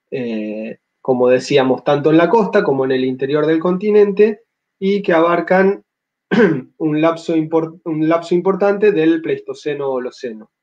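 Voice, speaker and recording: A man in his thirties with an Argentine accent, giving a measured academic slide talk over a video call, pausing often with filler sounds. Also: fairly high pitch, darkish tone. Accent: Argentine Spanish. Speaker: paleontology lecturer. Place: home room on a video call. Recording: slightly dull lo-fi audio.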